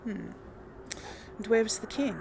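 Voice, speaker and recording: A woman's voice: on the high side, a little slow, gravelly, background noise. A woman hosting a casual role-play game video, talking playfully as she goes. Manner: playful, chatty, laughs often. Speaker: let's play host.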